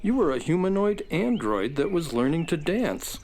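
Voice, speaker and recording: A woman's voice: low voice, very animated, moderate pace, darkish tone, exaggerated voice. A woman playing an earnest, level-headed space adventurer with precise, informative delivery in a children's audio drama. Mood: curious.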